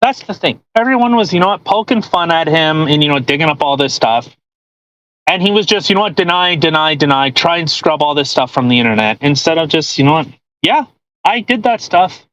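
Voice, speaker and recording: A man's voice: a little high, lively intonation, brisk, a bit bright, no echo, low-quality recording. A man hosting a podcast in casual, mocking commentary with crude joking. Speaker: podcast host.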